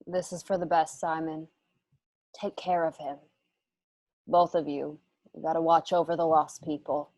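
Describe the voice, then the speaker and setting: An actress as a fierce, guarded frontier woman in a Western radio drama. She talks slowly and bluntly in rustic phrases, with a lowish voice recorded close and dry. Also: little pitch movement, darkish tone, no room sound, rough recording. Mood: resigned. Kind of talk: online radio play acting.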